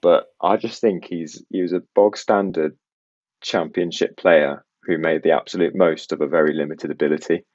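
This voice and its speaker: Deep-voiced young man, soft international accent. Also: steady tone, normal speed, no room sound, muffled recording. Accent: international English, faint West Midlands traces. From Belgium. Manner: chatty, self-deprecating, rambling. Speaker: podcast guest co-host. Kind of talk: casual podcast chat.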